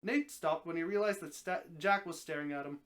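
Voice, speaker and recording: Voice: mid-range voice, fairly quick, a bit bright. A person narrating a story aloud in a live dramatic reading, sometimes tripping over words and rereading them.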